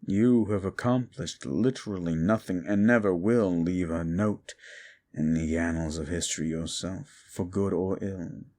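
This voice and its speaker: Guy voicing a super deep gravelly demon, ancient and bitter, slow and scornful. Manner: contemptuous, swings from hushed to shouting. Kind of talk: audio drama roleplay to a listener.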